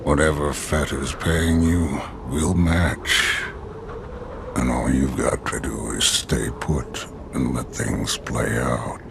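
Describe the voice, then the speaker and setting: An actor playing a cold-blooded male bounty hunter with a low, drawling menace. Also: fairly even intonation, slow, vocal fry, exaggerated voice. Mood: menacing. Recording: ambient noise behind.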